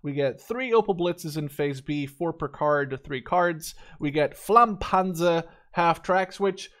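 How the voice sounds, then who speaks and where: A man's voice: fairly high pitch, takes it slowly, a bit bright. A man in his twenties, a native Dutch speaker, giving a casual unscripted game review, thinking aloud and repeating words for emphasis. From Netherlands. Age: 20s. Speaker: gaming YouTuber.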